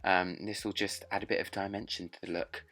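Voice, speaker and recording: A man's voice: deep voice, level tone, fairly quick, bright, forceful tone. A young man with a sore throat, narrating a makeup tutorial step by step in a chatty way. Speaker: drag performer and makeup vlogger.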